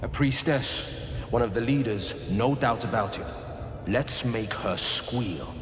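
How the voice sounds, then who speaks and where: A man's voice: medium pitch, slow, a bit bright. A man playing a grim adventurer in a fantasy video game. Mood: grim, intent. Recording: echoey hall, faint music.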